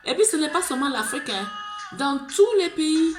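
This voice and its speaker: A woman's voice: high-pitched, expressive, normal speed, reverberant, over music. A woman with an Ivorian French accent gives an impassioned monologue, pleading, repeating herself and restarting words. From Ivory Coast. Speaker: video blogger.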